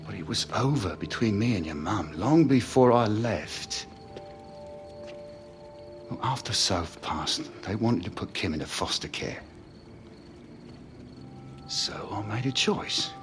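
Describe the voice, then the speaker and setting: A man playing a middle-aged estranged British father, pleading and regretful, speaking earnestly and haltingly in a dramatic game cutscene. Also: lowish voice, animated, faint music behind.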